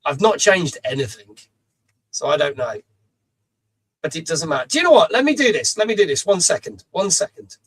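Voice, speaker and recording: A middle-aged man with a rough voice, hosting a live stream, chatty and reading out viewers' messages with casual swearing. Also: fairly high pitch, sing-song, moderate pace, poor audio. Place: small home studio.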